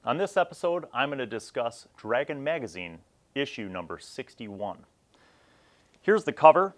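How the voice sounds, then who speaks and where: A man in his forties hosting a casual, unscripted gaming review, talking reflectively and conversationally in short phrases with pauses. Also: medium pitch, very animated. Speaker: host of a vintage tabletop gaming review series.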